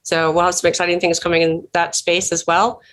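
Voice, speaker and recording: A woman's voice: on the low side, monotone, fairly quick, a bit bright. A woman giving informal, effusive and encouraging thank-you remarks as an event host on an online video call. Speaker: organization leader hosting an online event.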